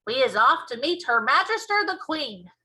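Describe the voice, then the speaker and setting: A woman's voice: sits high, sing-song, moderate pace, bright, forceful tone, pitched above the performer's own voice, exaggerated voice. A woman voicing a huge, kindly but blustery male giant in a children's storybook, with quaint broken grammar and muddled invented words. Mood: eager.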